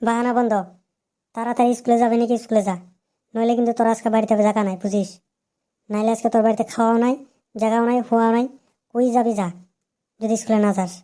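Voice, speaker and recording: A woman's voice: fairly high pitch, flat delivery, fast talker, long pauses, warm tone, natural voice. A woman voicing a strict, fed-up mother in a comic cartoon, scolding bluntly in an eastern Bengali accent. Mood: angry.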